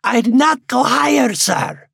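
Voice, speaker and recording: A man voicing a frail, excitable, pious old seaside man in a story, with archaic rustic speech and drawn-out exclamations. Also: extremely high pitch, vocal fry, husky, dry recording, studio quality, pitched above the performer's own voice, heavily acted. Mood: urgent warning.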